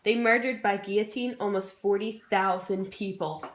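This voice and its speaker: A woman's voice: mid-range voice, fairly even intonation, normal speed. A young woman, a student presenter, delivering a scripted history skit in an informal, jokey way. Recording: roomy, echoey room sound.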